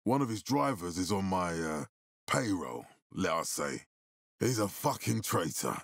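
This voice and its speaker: A grave militia leader for a video game. His voice is low and husky, swinging widely in pitch as he speaks with deliberate authority. The recording is close and dry. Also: very animated, a bit bright, no echo, exaggerated voice. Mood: controlled, serious. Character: commanding militia leader, grave adult man, calculating and profane. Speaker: military commander. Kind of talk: video game character dialogue.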